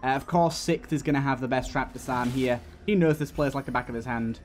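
A man's voice: medium pitch, fairly quick, darkish tone, soft background music. A man giving casual let's-play commentary, thinking aloud as he plays. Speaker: gaming video host.

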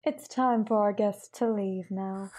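A woman's voice: mid-range voice, steady tone, measured pace, a little mellow, lightly acted. A woman voicing a domineering aristocratic mother in an anime fandub, speaking formally with composed, polite condescension. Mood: cold, dismissive. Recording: music behind the voice.

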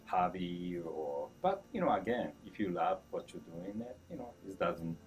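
A man in his fifties from Japan with a Japanese accent, answering interview questions in broken English with chuckles and lively gestures. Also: sits low, moderate pace, faint music behind. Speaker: chef being interviewed. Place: indoor store event, seated before a branded backdrop.

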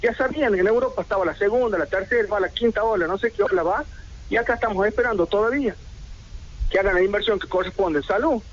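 A man's voice: high-pitched, quick pace. A middle-aged man with an Argentine accent, making an indignant protest statement, firing rhetorical questions one after another. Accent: Argentine Spanish. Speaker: merchant speaking to local radio. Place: indoors, seated in red armchair. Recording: lo-fi radio broadcast audio.

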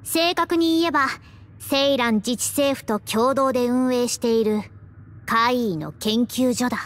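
A woman playing a worldly, frank young female soldier in an anime game, explaining things in a measured, breezy way. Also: a little high, natural voice. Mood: matter-of-fact. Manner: measured explaining, breezy masculine-style phrasing. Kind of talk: Japanese anime game voice acting. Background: game music.

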